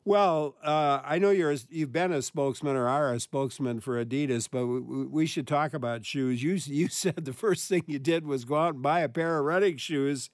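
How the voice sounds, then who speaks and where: A man with a slightly raised pitch hosts a call-in radio show. He takes callers in a friendly way and fills his pauses with "uh." The studio sound is dry and close. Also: no room sound. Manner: friendly, many filler "uh"s.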